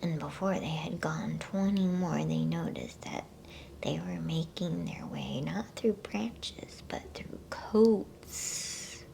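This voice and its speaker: A woman reading a bedtime story as ASMR in a soft, breathy, hushed whisper, unhurried and close to the microphone. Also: sits low. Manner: hushed, unhurried, with playful asides.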